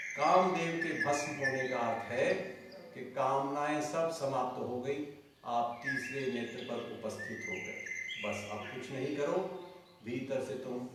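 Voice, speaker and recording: A man teaching yoga and meditation, guiding in a commanding manner with short, repeated instructions. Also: mid-range voice. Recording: very echoey, distant mic, noisy background.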